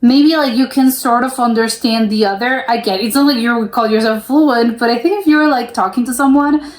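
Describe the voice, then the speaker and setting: Young american girl rambling through a reaction, lots of "like", kinda high voice. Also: fairly quick. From United States. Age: young adult. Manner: rambling, with many "like" fillers and restarts. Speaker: reaction video host. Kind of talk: casual reaction commentary. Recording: roomy echo.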